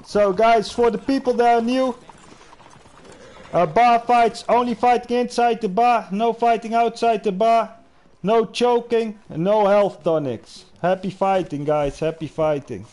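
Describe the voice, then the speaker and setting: A man's voice: high voice, measured pace, a little mellow. A young man from the Netherlands with a Dutch accent, chatting casually with viewers and fellow players while hosting a game livestream. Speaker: livestream host. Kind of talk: casual gaming livestream chat.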